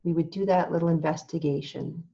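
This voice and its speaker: A woman teaching meditation in a calm, gentle, measured way, leaving long pauses as she gives instructions. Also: deep voice, muffled recording.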